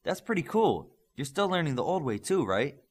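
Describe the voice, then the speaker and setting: Man voicing a friendly superhero mentor, lively intonation. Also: fairly high pitch, normal speed, slightly rough, pitched above the performer's own voice. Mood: impressed. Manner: friendly, encouraging. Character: supportive, clever older male superhero mentor.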